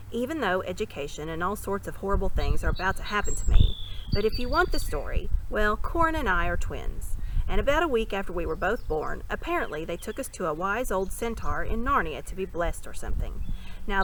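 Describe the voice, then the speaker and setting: A woman's voice: medium pitch, a bit bright, rough recording. A woman voicing an eager, chatty young boy prince from a storybook, with breezy schoolboy slang. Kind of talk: storybook character voice. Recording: birdsong and ambient noise behind.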